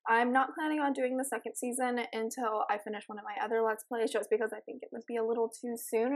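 A raspy young woman casually commenting while she plays a life-simulation game, rambling and giggling with lots of ums. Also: fairly high pitch. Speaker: gaming channel host. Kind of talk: casual let's play commentary.

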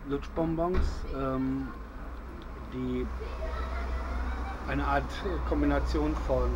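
A middle-aged man casually showing off his first-aid kit outdoors, with a relaxed, hesitant delivery and plenty of fillers. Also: mid-range voice, muffled recording, background noise. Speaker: bushcraft video host. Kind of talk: casual show-and-tell. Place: outdoors on a patio by a wall.

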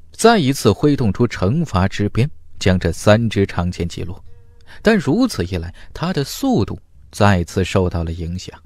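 Male fantasy audiobook narrator, dramatic and very varied in pitch, dry close sound. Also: darkish tone, no room sound.

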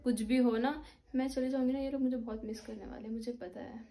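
A woman's voice: on the high side, steady tone, brisk, mellow. A young woman with a sore throat and a cold, chatting casually to her phone camera in a small bedroom at home, rambling and mixing in English words. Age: young adult. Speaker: vlogger. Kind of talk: casual vlog chat to camera. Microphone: phone camera at arm's length.

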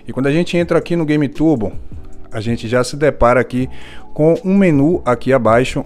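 A man's voice: medium pitch, a little mellow. A man with a Brazilian accent giving a casual, explanatory product walkthrough as a tech reviewer, often checking in with small tag questions. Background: background music.

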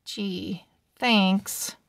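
A woman's voice: medium pitch, steady tone, extremely slow, vocal fry, a bit bright, dry recording, studio quality, pitched below the performer's own voice, heavily acted. Woman playing a hesitant, earnest little storybook pug. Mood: hesitant, deflated. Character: eager, put-upon little male pug in a storybook.

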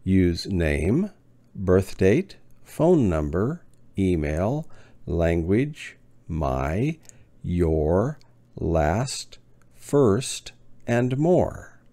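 Brief: deep gravelly male teacher, slow and deliberate, lively pitch. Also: no echo. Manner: spaced-out words with long pauses, clear enunciation. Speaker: ESL teacher. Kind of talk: slow, deliberate lesson reading.

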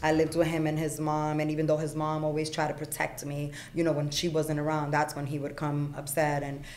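A middle-aged woman from Puerto Rico speaks in a low voice, telling her own story plainly and directly. Her words carry a little room echo, and a faint hum of background noise sits underneath. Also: monotone, brisk, darkish tone. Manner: frank, plainspoken, many ums and you-knows. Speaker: podcast guest. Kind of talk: candid life story in conversation. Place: small studio room with acoustic panels. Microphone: desk boom mic.

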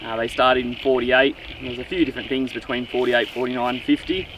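Middle-aged male vlogger, plain-spoken and casual, mid-pitched voice, outdoor recording with some wind noise. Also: level tone, fairly quick, a bit bright, rough recording. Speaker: vlogger, owner of a vintage off-road vehicle. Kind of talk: casual walkaround vlog talk. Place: outdoors in open grassy bushland.

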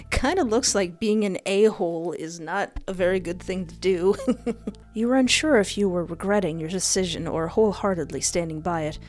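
A woman's voice: on the low side, normal speed, a little mellow. A young woman reading a visual novel aloud and reacting with cheeky, sassy commentary. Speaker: streamer, narrator. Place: indoors at a desk. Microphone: headset and close desk microphone. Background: faint game music.

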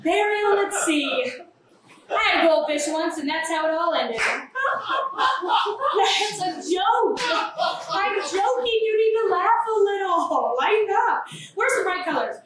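A woman's voice: very high voice, slow, exaggerated voice. A young woman playing a glib, manipulative, callous woman with a morbid streak in a theatrical staged reading. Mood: flippant. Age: young adult. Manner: animated, flippant, theatrical. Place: small café, live staged reading. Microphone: far camera. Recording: echoey, distant camera mic, faint noise.

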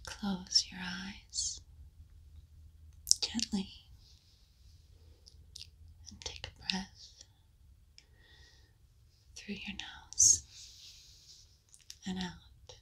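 Slow whispering woman guiding meditation. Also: mid-range voice, flat delivery, sharp tone, husky. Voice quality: unvoiced whisper. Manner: slow, soothing, long pauses. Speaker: ASMR meditation guide.

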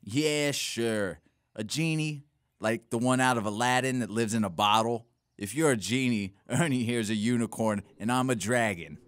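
A man playing a bossy, impatient, streetwise small-time crook in an audio drama, with a gruff manner. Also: mid-range voice, normal speed, close to an everyday voice. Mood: defiant. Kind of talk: audio theater acting. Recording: faint background noise.

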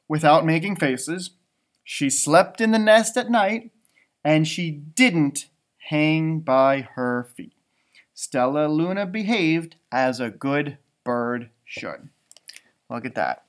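Male read-aloud storyteller, 30s. Expressive, dramatic, widely swooping pitch for young listeners. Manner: expressive, dramatic delivery for children. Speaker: elementary teacher reading aloud. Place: indoor library room with bookshelves.

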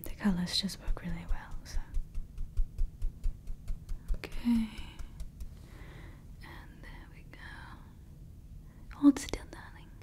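A woman voicing a doting female evil scientist in an ASMR roleplay, whispering softly close to the mic and fussily repeating her words. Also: medium pitch. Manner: soft whispering, repeats words fussily, calls listener darling. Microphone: close ASMR microphone.

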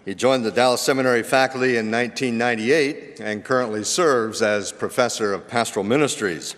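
A man giving a measured, formal introduction of a guest speaker at a seminary chapel. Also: mid-range voice, a bit bright. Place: large seminary chapel auditorium. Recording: long hall reverb.

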